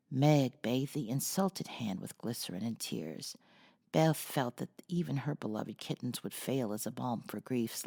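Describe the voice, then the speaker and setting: A woman reading a classic novel aloud as an expressive storyteller. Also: extremely low pitch, creaky, husky. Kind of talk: expressive audiobook-style story narration.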